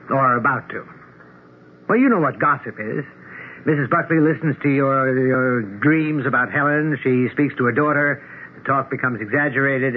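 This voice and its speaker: An actor playing a skeptical, concerned friend, measured and blunt, in a 1970s radio drama. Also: male voice, mid-range voice, phone-quality sound, close to an everyday voice. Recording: thin, hissy 1970s radio recording.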